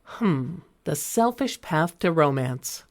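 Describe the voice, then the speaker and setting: Woman acting as a wistful lady thinking out loud in a radio ad, low voice. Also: expressive, slow, exaggerated voice. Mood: musing, curious. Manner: musing, talking to herself. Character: wistful woman musing about romance in radio ad. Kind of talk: acted radio advertisement monologue.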